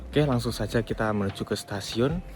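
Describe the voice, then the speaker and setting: A man's voice: lowish voice, fairly quick, slight background noise. A man chatting casually to his viewers as a travel vlogger, talking from train stations and platforms. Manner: chatty, addresses viewers as friends. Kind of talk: casual travel vlog narration.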